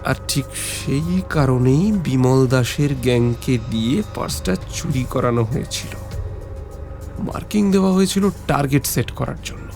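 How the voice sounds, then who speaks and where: An actor playing a defiant, sharp-minded young prisoner in an audio drama, speaking with deliberate resolve. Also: male voice, mid-range voice, animated, creaky, faint music behind. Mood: intent, analytical. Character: defiant, sharp-minded young man held in police lockup. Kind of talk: audio story acting.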